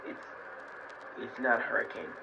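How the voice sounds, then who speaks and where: A man's voice: moderate pace, natural voice. A man playing a bewildered, rambling space cadet phoning into a mock newscast. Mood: panicked confusion. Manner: rambling, flustered. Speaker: interviewed guest. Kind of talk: improvised mock news interview. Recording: muffled lo-fi line, faint noise.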